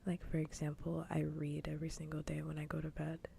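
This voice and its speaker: A woman livestream host chatting casually in a soft, breathy whisper for ASMR, gentle and unhurried, close to the microphone. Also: sits low, monotone, slight background noise.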